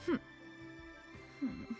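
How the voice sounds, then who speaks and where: An actress playing a proud immortal bird-spirit mentor in a video game, speaking in haughty, formal, archaic wording. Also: female voice, extremely slow, music in the background. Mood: wry.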